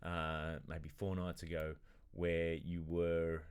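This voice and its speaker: A deep-voiced man in his forties hosts a relaxed studio podcast. He prods his guest with quick, curious questions and a dry teasing edge, speaking close to a studio mic. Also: clean tone. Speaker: podcast host. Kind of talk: casual interview chat. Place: dark studio set, seated in armchair. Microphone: studio condenser mic on stand.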